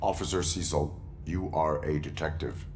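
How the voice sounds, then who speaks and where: An actor playing a stoic cyborg policeman in a video game, with deadpan, clipped, formal speech. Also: very deep voice, moderate pace, a little hiss or hum. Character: stoic cyborg policeman, grown man turned machine.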